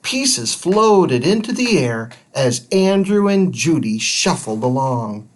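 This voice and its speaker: A woman narrates a children's audiobook in a slightly low voice. Her delivery is very slow and carefully spaced, with extremely animated intonation that follows the story. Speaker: storybook reader.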